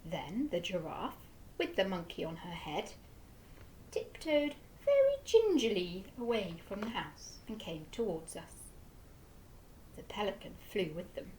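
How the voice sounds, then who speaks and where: A woman teacher reading a children's story aloud with slow, expressive storytelling. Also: medium pitch, slight background noise, low-quality recording.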